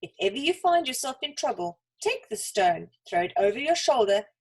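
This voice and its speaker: A woman voicing a tiny, helpful field mouse in a children's story, with a squeaky voice. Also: sing-song, moderate pace, slightly rough, heavily acted. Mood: grateful, earnest. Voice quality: squeaky, squally.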